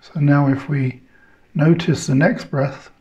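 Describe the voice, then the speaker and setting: A man guides a meditation with a very slow delivery and a soft-edged, mellow tone. He speaks in short, measured phrases separated by long pauses. The recording sounds slightly dull and muffled. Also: on the high side, fairly even intonation.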